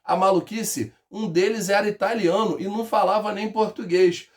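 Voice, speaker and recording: A man's voice: high-pitched, fairly even intonation, moderate pace. A man with a Carioca accent teaching a casual history review, telling it as a joking story full of slang. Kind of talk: casual exam review lesson.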